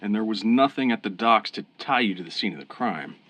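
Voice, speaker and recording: An actor playing a calm, fair-minded police officer in a radio crime drama, measured and authoritative. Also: male voice, lowish voice, dry recording. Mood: reasonable, firm. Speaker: police interrogator. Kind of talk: old-time radio crime drama acting.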